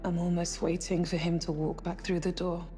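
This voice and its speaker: An actress playing a confident but quietly grieving young woman astronaut, soft-spoken and understated in a naturalistic game scene. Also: lowish voice, little pitch movement, normal speed, slight background noise, natural voice. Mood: wistful. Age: young adult. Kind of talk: naturalistic cinematic game dialogue.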